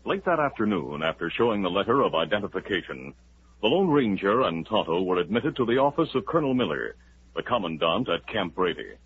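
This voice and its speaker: A man narrating a radio drama with an even, storytelling delivery. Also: low voice, brisk. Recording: tinny old radio recording with faint hiss.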